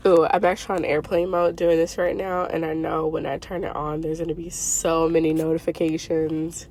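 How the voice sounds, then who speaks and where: A woman's voice: low-pitched, little pitch movement, moderate pace, a little mellow, slight background noise. A woman hosting a spiritual channel, talking in a chatty, rambling way with many fillers. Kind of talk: casual spiritual talk.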